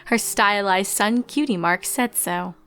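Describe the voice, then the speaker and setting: A woman reading a scripted story aloud as its narrator, in an expressive storytelling style. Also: mid-range voice, unhurried, clean tone. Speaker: fan fiction narrator. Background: background music.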